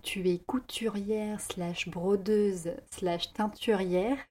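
A woman's voice: mid-range voice, fairly even intonation, slow pace, darkish tone. A woman hosting a podcast, reading a scripted intro and then asking friendly, casual interview questions. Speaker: podcast host.

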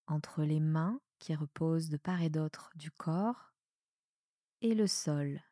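A woman with a soft voice guiding a relaxation meditation in a calm, soothing manner with measured pauses. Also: sits low, no room sound, studio quality. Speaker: yoga nidra guide. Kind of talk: guided meditation, relaxation instruction.

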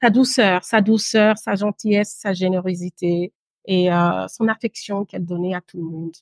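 A woman in her thirties from Guinea and Belgium, telling her story as an interview guest in a measured, pausing way with the odd English word. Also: lowish voice, steady tone, no room sound, clean studio sound. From Guinea and Brussels, Belgium. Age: 30s. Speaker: podcast guest, entrepreneur.

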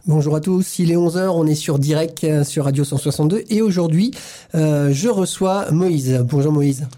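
Male radio host, very mellow tone, chatty, easy laugh. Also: on the high side, normal speed. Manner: chatty, laughs easily, stretches words. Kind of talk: casual radio interview.

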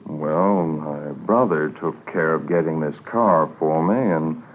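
A deep-pitched, evasive crook in a 1950s radio drama. He hesitates and hedges as he offers excuses. The recording is muffled and narrow. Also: a little hiss or hum. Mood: evasive, hesitant. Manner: hesitant, hedging, halting. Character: evasive male robbery suspect making lame excuses.

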